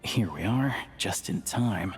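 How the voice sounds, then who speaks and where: A man's voice: sits low, expressive, slow pace, soft background music. An actor playing an easygoing, knowledgeable local man in a video game, talking in a casual, conversational way. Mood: relaxed, friendly. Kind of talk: video game character dialogue.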